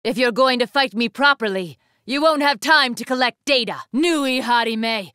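A woman's voice: fairly high pitch, normal speed, sharp tone, smooth, dry recording, studio quality. An actress playing an imperious, ruthless young woman warrior, speaking in formal, haughty declamations for an anime fighting game. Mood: cold, haughty.

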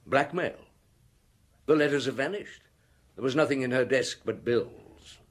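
An actor playing a shrewd, middle-aged police detective chief in a radio drama, husky and deliberate, with dryly polite authority. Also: male voice, medium pitch, natural voice. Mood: stern, probing. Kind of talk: radio drama acting, questioning and recounting.

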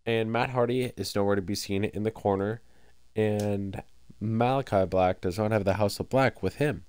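A man's voice: fairly low pitch, moderate pace, smooth, dry recording. Stuffy-nosed young man calling a wrestling game. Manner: excitable, bursts of loud exclamations. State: stuffy nose. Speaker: gameplay commentator. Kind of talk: excited play-by-play over wrestling game.

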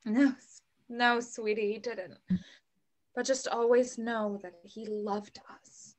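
A woman's voice: fairly high pitch, fairly even intonation, slow pace. A young woman reading the part of a protective, wry widowed mother in a play over a video call. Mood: tender, shaken. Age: young adult. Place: room at home. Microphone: computer mic on video call.

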